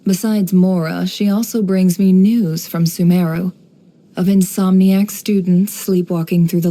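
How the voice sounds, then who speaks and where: An actress playing a shrewd, worldly woman who runs an intelligence business in a video game, speaking in a composed, wry, measured way. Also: mid-range voice, warm tone, natural voice. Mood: dry, businesslike. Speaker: video game character voice actor. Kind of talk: scripted game character voice lines, monologue.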